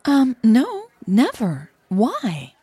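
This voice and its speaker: A woman voicing a playful, flirty younger woman in an audio romance, breathy, soft-spoken and teasing. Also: a little high, huge pitch swings, extremely slow, dry recording, pitched above the performer's own voice, exaggerated voice.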